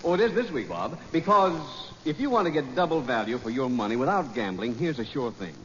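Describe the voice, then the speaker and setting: A man announcing on a 1940s radio show, reading a sponsor's sales pitch with polished, emphatic delivery. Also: sing-song, a little fast, fairly bright tone, slight background noise. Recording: muffled old radio recording, hall reverb.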